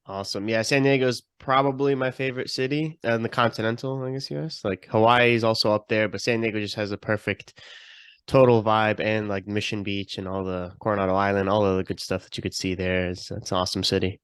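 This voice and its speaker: A man's voice: lowish voice, level tone, a little fast, clear, resonant tone, dry recording. A young adult man hosting a podcast, talking and asking questions in a casual, conversational style. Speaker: podcast host.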